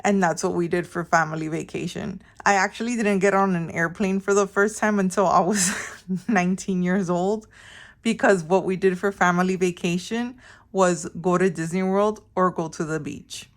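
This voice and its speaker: A woman's voice: fairly low pitch, steady tone, normal speed. A middle-aged woman from Miami chatting casually to her viewers in a rambling, informal way, often interrupting herself. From Miami, Florida, United States. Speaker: vlog host. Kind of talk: casual chatty personal storytelling.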